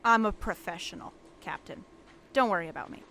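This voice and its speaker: A woman's voice: on the low side, brisk, sharp tone, a little hiss or hum. A woman playing an earnest, guarded police interrogator in an audio drama, with a firm, businesslike and strained manner. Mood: uneasy, determined.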